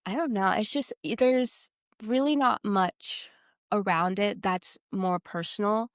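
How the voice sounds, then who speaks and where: Clear-voiced woman, informal podcast host. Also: mid-range voice, unhurried, no echo, clean studio sound. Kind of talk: casual interview chat.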